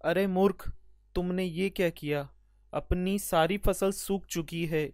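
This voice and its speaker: Man acting an earnest, higher-voiced poor farmer husband. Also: fairly even intonation, measured pace, dry recording, studio quality. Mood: dismayed. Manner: earnest, gently chiding. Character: patient, hardworking, weary poor farmer husband in a cartoon.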